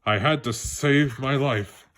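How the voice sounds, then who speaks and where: A man's voice: mid-range voice, slow pace, fairly bright tone. A man voicing a playful frog from a storybook who spins a spooky tale in a teasing, suspenseful manner.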